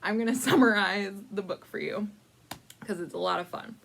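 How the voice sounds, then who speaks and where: A woman hosting a casual storytime, chatty and informal, with playful, dramatic emphasis and drawn-out words as she tells a picture-book story. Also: mid-range voice, creaky, a bit bright, a little hiss or hum. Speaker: librarian storytime host.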